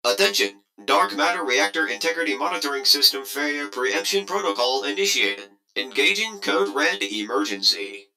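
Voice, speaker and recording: A man's voice: mid-range voice, very animated, normal speed, few pauses, pushed and bright, soft background music, low-quality recording. A man voicing a game facility's automated emergency announcement system, formal, emphatic and commanding. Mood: urgent, commanding.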